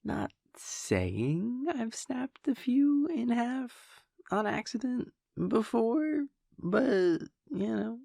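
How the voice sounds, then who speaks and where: A man playing a playful, affectionate vampire boyfriend in an intimate audio roleplay, talking casually and teasingly in a breathy, gravelly voice that often drops near a whisper. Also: very high voice, big pitch swings, drawn-out words, mellow, no room sound, clean studio sound, heavily acted. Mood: wry, joking. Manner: wry, teasing, hesitant, chuckling casual delivery.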